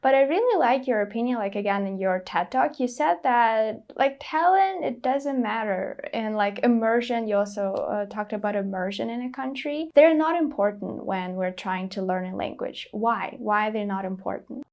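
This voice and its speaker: A woman's voice: fairly high pitch, animated, normal speed, hardly any pauses, dull audio. A woman from Russia, hosting an interview and chatting casually with "like" as a filler. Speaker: interviewer, podcast host.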